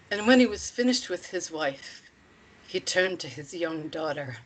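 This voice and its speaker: A woman's voice: medium pitch, lightly acted, poor audio. Woman playing a stern, vengeful female protector. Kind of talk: screenplay table read.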